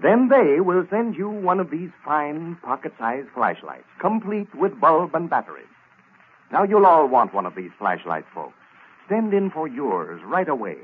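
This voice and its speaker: An American man working as a radio announcer, delivering a sponsor's pitch in 1930s advertising style. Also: slightly rough. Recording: tinny, band-limited vintage radio recording.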